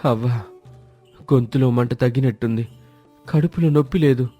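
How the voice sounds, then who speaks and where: Story role: frightened sick villager, male actor, timid, dark-toned, faint music underneath. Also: mid-range voice, moderate pace, natural voice. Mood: amazed. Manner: timid, wondering.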